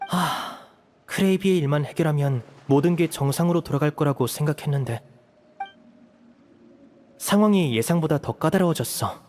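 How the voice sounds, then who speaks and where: A man voicing a composed, protective young elder brother in an anime-style game, explaining things in a measured, serious way. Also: on the high side, darkish tone, a little hiss or hum, close to an everyday voice. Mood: troubled. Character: composed young magician, protective eldest brother, earnest strategist.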